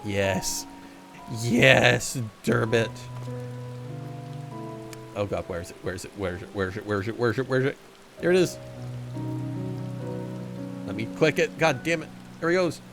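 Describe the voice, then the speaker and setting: A man's voice: mid-range voice, animated, moderate pace, creaky, long pauses, over music. A man streaming a game, chatting casually and reading the dialogue aloud with joking asides. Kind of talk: casual let's-play commentary.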